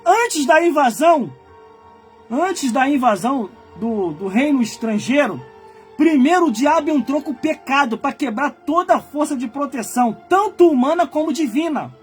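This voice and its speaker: A man in his forties from Brazil, a pastor preaching a fiery sermon that swings from quiet muttered asides to loud shouted warnings. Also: very high voice, expressive, moderate pace, faint music behind. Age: 40s. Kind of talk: fiery Pentecostal-style sermon.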